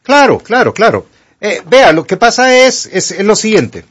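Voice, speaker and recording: An older man with a Honduran Spanish accent, a doctor on a radio call-in show who gives health advice in an emphatic, animated way. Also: high voice, moderate pace, slightly rough, dry recording, clean studio sound. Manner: animated, emphatic, rises to pleading.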